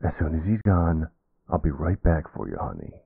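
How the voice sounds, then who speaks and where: Man acting as a very deep, gravelly older boyfriend. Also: big pitch swings, normal speed, dry recording, phone-quality sound, full character voice. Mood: protective, reassuring. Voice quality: gravelly, husky. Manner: hesitant, sighing, casual endearments. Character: gruff, protective, tender older man, reluctant lover. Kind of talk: intimate ASMR boyfriend roleplay. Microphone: close, dry microphone.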